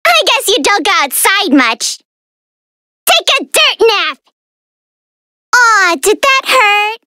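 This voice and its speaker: An actress playing a tiny, vain, mischievous female fairy in a video game, with a girlish squeaky voice and a playful, teasing, theatrical manner. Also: very animated, takes it slowly, bright, forceful tone, dry recording, clean studio sound, exaggerated voice. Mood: sassy, mocking. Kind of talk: video game character voice lines.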